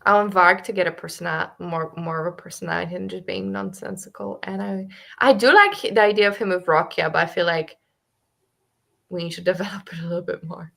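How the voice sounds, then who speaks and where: Gravelly-voiced woman chatting about books. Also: on the low side, brisk, a bit bright. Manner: chatty, thinking aloud with many fillers. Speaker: book club co-host. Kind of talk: casual book discussion.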